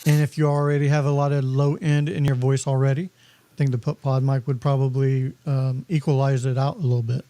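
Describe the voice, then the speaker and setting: Mellow dry-voiced man on gear advice. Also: mid-range voice, flat delivery, brisk, no room sound. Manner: laid-back, advises on budget gear. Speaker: podcast co-host. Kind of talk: casual chat about microphones.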